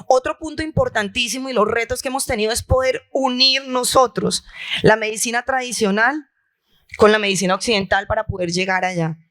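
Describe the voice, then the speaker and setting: A woman's voice: fairly high pitch, brisk, a bit bright. A woman from La Guajira, speaking passionately and with emphatic repetition on a conference panel. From La Guajira, Colombia. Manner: impassioned, emphatic, with rhetorical repetition. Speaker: health insurer leader on a panel. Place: conference hall.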